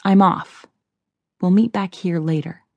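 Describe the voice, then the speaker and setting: A bossy, exasperated male fairy from a children's storybook, played by a woman. He gives orders impatiently in a soft-edged, mellow voice, close and dry. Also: lowish voice, steady tone, vocal fry, dry recording, muffled recording. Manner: brisk commands, weary exasperation.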